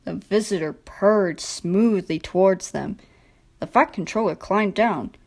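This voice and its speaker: A woman's voice: mid-range voice, a little slow, a little mellow. A woman narrating a scripted children's storybook.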